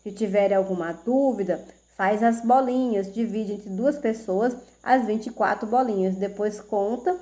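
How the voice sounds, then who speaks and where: Slow, patient woman teacher. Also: mid-range voice, dark tone. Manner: slow, patient, checks in with "tá certo?". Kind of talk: video math lesson for children.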